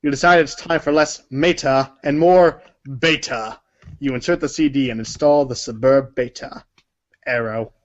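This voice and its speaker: A man's voice: mid-range voice, fairly even intonation, normal speed, highs cut off. A man narrating a comic read-along story in the second person.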